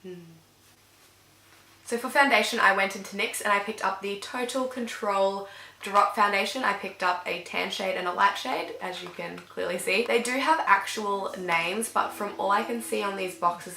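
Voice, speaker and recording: Young woman, croaky nasal cold voice, casual tutorial chatter, echoey room. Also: medium pitch, steady tone, moderate pace, sharp tone, a little hiss or hum. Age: young adult. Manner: chatty, filler-heavy, talks while applying makeup. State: sick, a bit croaky and nasal. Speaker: beauty vlogger. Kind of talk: casual makeup tutorial chat. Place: dim bedroom with fairy lights, indoors.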